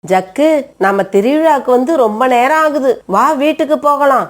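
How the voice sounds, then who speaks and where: Woman as spendthrift cartoon mother crow: high voice, defiant, dismissive, quick to retort. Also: lively intonation. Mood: breezy, coaxing. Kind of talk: Tamil animated moral story acting.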